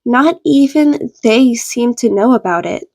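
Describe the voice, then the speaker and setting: A woman's voice: a little high, lively intonation, unhurried, a little mellow. A woman narrating a story from a script. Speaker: podfic narrator.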